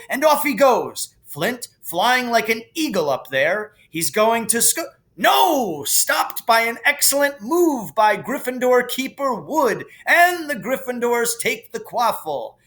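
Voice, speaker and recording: A man voicing an excitable young male sports commentator calling a storybook match in breathless exclamations. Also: very high voice, voice pushed up, heavily acted.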